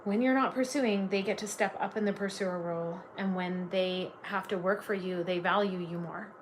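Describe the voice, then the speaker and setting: A woman's voice: medium pitch, fairly even intonation, normal speed, a little hiss or hum. A woman from Canada giving a live tarot reading, chatty and gushing, full of "like" fillers. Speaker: tarot reader.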